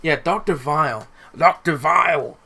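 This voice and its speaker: A young man who hosts a podcast, chatting casually and haltingly, repeating words as he thinks. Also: fairly high pitch, creaky, bright, forceful tone, slightly rough. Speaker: podcast host and amateur voice actor. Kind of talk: casual chat.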